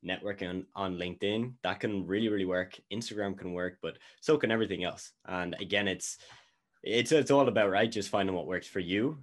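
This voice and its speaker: A man hosting an online interview in a relaxed, casual way, often repeating words and using fillers like "you know". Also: deep voice, a little fast. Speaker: host.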